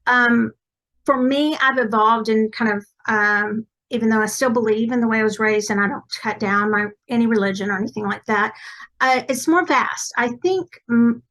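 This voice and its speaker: A woman's voice: fairly high pitch, moderate pace, a bit bright, poor audio. A woman with an East Texas accent, a psychic medium chatting as a podcast guest in a rambling, self-correcting way. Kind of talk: casual interview chat.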